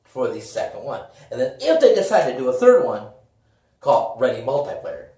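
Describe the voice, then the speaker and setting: A man's voice: medium pitch, sing-song, fairly quick, dark tone. A man chatting casually about games and movies as a podcast co-host, in a rambling, loosely phrased way. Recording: echoey room, distant from the mic.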